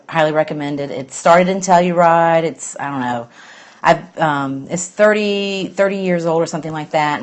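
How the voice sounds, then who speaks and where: A middle-aged woman from Alabama with a Southern accent, chatting in a rambling, easygoing way on a phone live stream as host of a news show. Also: sits low. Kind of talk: casual live-stream chat.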